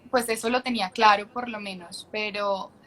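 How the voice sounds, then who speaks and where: A woman's voice: medium pitch, fairly even intonation, moderate pace, a bit bright. A young Colombian woman with a Colombian accent, a guest actress talking candidly on a video call and laughing often. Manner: chatty and candid, laughs often, many restarts. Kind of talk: casual interview chat.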